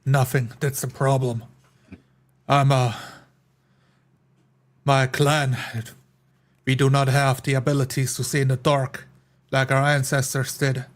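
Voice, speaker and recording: A man voicing a gruff, taciturn dwarf in a tabletop game, raspy and hushed. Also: medium pitch, flat delivery, measured pace, pauses often, natural voice. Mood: gruff, subdued. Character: gruff, taciturn male dwarf stone-reader.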